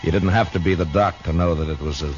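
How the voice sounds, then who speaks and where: An actor playing a steady, laconic American frontier marshal in a terse, understated 1950s radio drama style. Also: male voice, low-pitched, quick pace, lightly acted. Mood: dry, measured. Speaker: radio drama lead and narrator. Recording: muffled vintage radio recording, music.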